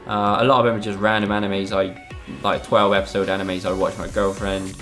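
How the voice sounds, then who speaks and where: A young man from Spain, a gaming YouTuber, reads out viewer questions and answers them in a relaxed, hesitant way, with lots of ums and "I don't know". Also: lowish voice, a little fast, soft background music.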